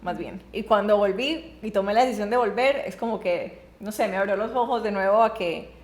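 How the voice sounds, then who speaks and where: A woman's voice: medium pitch, brisk. Chatty young woman in a roomy space. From Colombia. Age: young adult. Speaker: podcast guest. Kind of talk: casual personal conversation.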